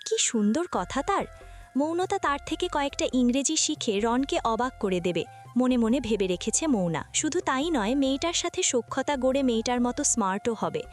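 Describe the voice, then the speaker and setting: A woman's voice: sits high, expressive, moderate pace, faint music behind. A woman reading scripted narration for a romantic family audio story.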